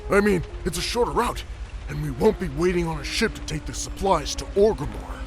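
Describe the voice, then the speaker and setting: Man acting a high, croaky, defensive orc guard. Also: expressive, fairly quick, over music. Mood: defensive, uneasy. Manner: pleading, defensive. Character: nervous, defensive orc guard making excuses. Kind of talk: fantasy audio drama acting.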